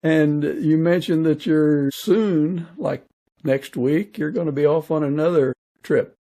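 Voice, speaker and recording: A man's voice: a little high, takes it slowly, mellow. A middle-aged or older man with an American accent, hosting a casual podcast interview.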